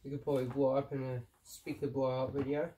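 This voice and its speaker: A man's voice: medium pitch, little pitch movement, fast, dark tone, distant mic, rough recording. A young man with a stuffy nose from a cold, chatting in a rambling way as he unboxes old gear in a cluttered room. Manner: chatty, rambling, frequent fillers. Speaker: vlogger. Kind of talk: casual unboxing vlog.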